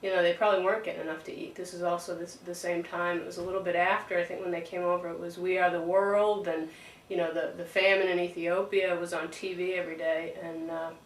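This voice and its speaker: A woman's voice: fairly low pitch, flat delivery, quick pace. A middle-aged woman recalling family events conversationally as an interviewee. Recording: echoey room, distant mic, faint noise.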